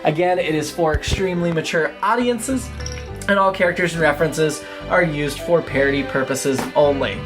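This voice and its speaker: A man's voice: on the high side, normal speed. A man hosting a comedy podcast, giving a scripted introduction and promotion in a plain presenting style. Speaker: podcast host and TV reviewer. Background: guitar music.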